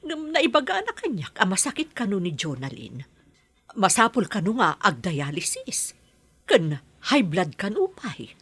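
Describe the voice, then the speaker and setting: A woman with an Ilocano accent playing a worried middle-aged mother in an audio drama, in a husky voice. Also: mid-range voice, wildly swooping pitch, unhurried, fairly bright tone, heavily acted. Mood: troubled. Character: worried mother guarding her adopted child.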